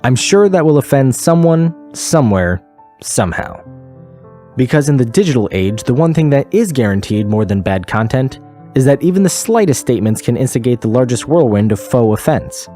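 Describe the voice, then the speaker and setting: A man's voice: mid-range voice, sing-song, warm tone, faint music behind, lightly acted. Pompous man acting as a radio host, swinging delivery. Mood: pompous, preachy. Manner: pompous, sardonic, sermonizing with dramatic pauses. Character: smug male radio news host, preachy and dismissive. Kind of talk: scripted satirical radio show.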